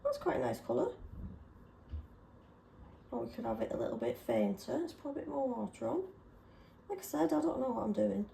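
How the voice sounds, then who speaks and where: A woman chatting casually and self-deprecatingly while testing paint colours, slightly hoarse from a sore throat. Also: fairly high pitch, animated, brisk, pauses often, mellow, echoey room, a little hiss or hum. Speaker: craft vlogger.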